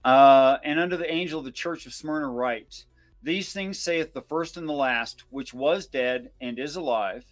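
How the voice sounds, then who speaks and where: A man giving informal scripture commentary and reading verses aloud in a measured, explanatory way. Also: medium pitch. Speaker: Bible discussion co-host.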